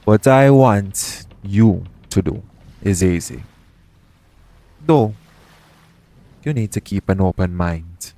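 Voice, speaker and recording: A man's voice: lowish voice, lively intonation, takes it slowly, long pauses, mellow, no echo. A man playing a shady, persuasive pirate captain in an audio roleplay, bargaining straight to the listener in roguish, ungrammatical speech. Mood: coaxing. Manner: coaxing, bargaining, roguish dialect grammar. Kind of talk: audio roleplay acting, addressing the listener directly.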